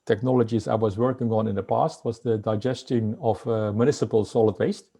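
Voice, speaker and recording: A man's voice: on the low side, fairly even intonation, brisk, creaky, warm tone, husky. A middle-aged Dutch man with a Dutch accent, a guest in an interview, talking with many fillers and restarts. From Netherlands. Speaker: guest entrepreneur. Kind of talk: casual interview talk.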